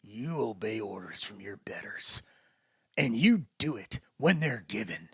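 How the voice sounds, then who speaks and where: A man's voice: lowish voice, very animated, unhurried, vocal fry, fairly bright tone, slightly rough, no echo, clean studio sound, exaggerated voice. A man voicing an angry, drunken, bossy male alien bully in a dramatic story reading. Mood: furious. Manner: belligerent, drunken.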